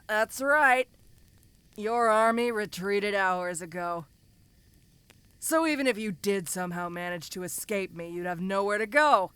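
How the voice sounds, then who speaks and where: A woman's voice: medium pitch, sharp tone, clean tone, no room sound. A woman voicing a tough, domineering giantess ogre in a fantasy audio roleplay, talking to the listener in a casual, teasing, condescending way. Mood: smug, explaining.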